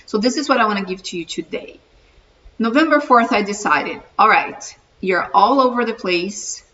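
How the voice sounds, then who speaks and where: A woman's voice: mid-range voice, lively intonation, moderate pace, bright, forceful tone. A middle-aged woman from Brazil with a Brazilian Portuguese accent, earnestly introducing her channel and encouraging viewers. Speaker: vlogger, channel host. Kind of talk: casual self-introduction to camera. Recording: distant mic, dull muffled sound, faint room hiss.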